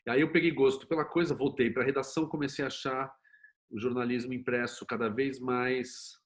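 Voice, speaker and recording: A man's voice: medium pitch, fairly even intonation, a little fast. A middle-aged man with a Brazilian Portuguese accent, telling his own story candidly as an interview guest. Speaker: interview guest, screenwriter and producer.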